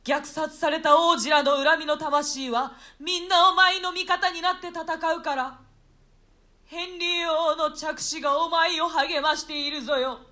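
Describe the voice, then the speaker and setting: A woman's voice: high-pitched, fairly even intonation, unhurried, bright, forceful tone. A woman voicing the ghost of a young murdered prince in a radio play reading, formal and encouraging.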